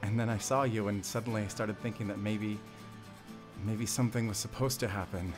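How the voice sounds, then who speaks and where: A man's voice: lowish voice, steady tone, a little fast, music in the background, natural voice. A man playing a lovestruck, vulnerable divorced father in an intimate audio roleplay, speaking in a hesitant, confessional way. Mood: wistful.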